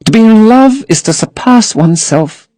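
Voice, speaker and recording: A man's voice: high voice, animated, moderate pace, dark tone, dry recording, pitched above the performer's own voice. A man reading aloud and voicing a young woman actress, dreamy and infatuated, who gushes rapturously. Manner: rapturous, gushing.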